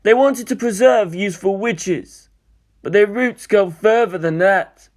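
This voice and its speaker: A man's voice: high voice, a little slow, pitched above the performer's own voice. A man voicing a serene, learned old monk teacher who explains things formally. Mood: serene, explanatory.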